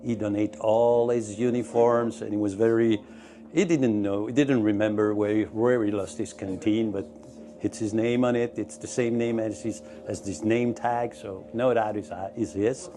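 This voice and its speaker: A middle-aged man tells his story with eager energy, his English a little broken. His voice sits low and mellow, and a faint hum of ambience runs under it. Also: steady tone, moderate pace. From Belgium. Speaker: museum founder. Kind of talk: on-location interview. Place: museum, indoors.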